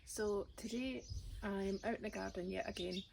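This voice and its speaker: A woman, her voice raspy today, chatting casually as she works in her outdoor garden with birdsong around her. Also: medium pitch, steady tone, normal speed, darkish tone, slight background noise. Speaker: gardening vlogger. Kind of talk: casual chat while gardening.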